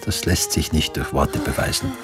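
Pompous eighteenth-century doctor, fervent, lecturing, widely swinging pitch, radio drama, prominent music. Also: male voice, huge pitch swings, moderate pace, heavily acted. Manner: lecturing, grandiose. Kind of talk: German radio drama acting. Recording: music behind the voice. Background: instrumental music.

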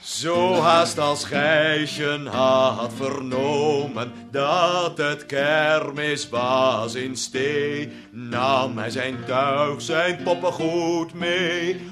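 A man in a 1970s radio play playing a tipsy, dreamy young poet in a tavern, who mumbles his lines and sings a ballad to guitar. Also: on the high side. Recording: old radio studio with music and crowd.

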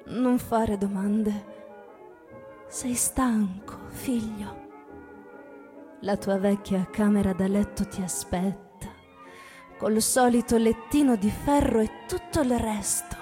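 An actress playing a tender mother coaxing her grown son in a radio drama, soft and sometimes breathy. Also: mid-range voice, slow pace, pauses often, faint music behind, lightly acted. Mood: tender, soothing.

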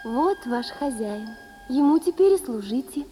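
A magical snake princess in a fairy-tale play. The voice is high and mellow, slow and tender, with courtly gratitude over faint music. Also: female voice, expressive. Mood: grateful, tender. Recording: music behind the voice.

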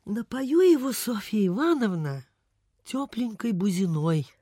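A woman's voice: medium pitch, big pitch swings, a little slow, mellow, slightly rough, dry recording, studio quality. An actress playing a kindly, anxious provincial landlady in a radio play, sighing and fretful, with old-fashioned speech. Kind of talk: Soviet radio play acting.

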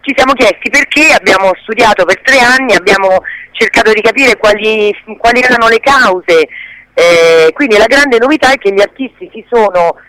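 A woman is a guest in a conversational radio interview. She explains things in halting, self-correcting phrases, and the sound is very dry with no room echo. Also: a little high, fairly bright tone. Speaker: interview guest, actress and association president.

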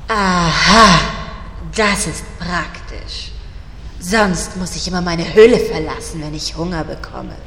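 Woman doing a smug hungry she-dragon, lowish slow voice, big cave reverb, parody radio play. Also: fairly bright tone. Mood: smug, pleased. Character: female dragon, hungry, smug lair mistress. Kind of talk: parody audio drama acting. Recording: echoey cavern reverb, faint noise.

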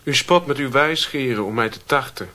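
A man's voice: medium pitch, normal speed, bright, forceful tone, natural voice. Male actor as Western adventurer, mid-range voice, formal, curt, proud, defiant, impatient, radio play.

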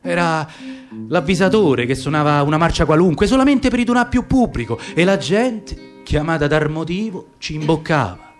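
A man with a Roman accent narrating a dialect verse fable in a lively storytelling recitation for radio theatre. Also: on the high side, normal speed, faint music behind.

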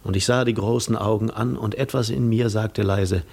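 Weary, lonely Greek wanderer, lowish voice, measured literary storytelling for a 1950s radio play. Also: male voice, fairly even intonation, darkish tone, breathy edge. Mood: brooding. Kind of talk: 1950s German radio drama narration.

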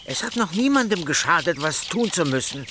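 An insistent, practical father in an audio drama. His voice is a bit high for a man and very animated, with clear background noise and animal sounds around it. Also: fairly quick. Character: practical, insistent middle-aged village father.

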